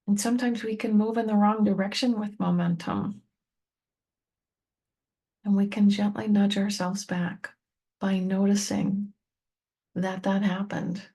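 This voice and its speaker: A woman leading a guided meditation, speaking gently and unhurriedly to the listener in short, spaced-out phrases. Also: mid-range voice, steady tone, a little slow, long pauses, breathy edge. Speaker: meditation teacher and tapping coach.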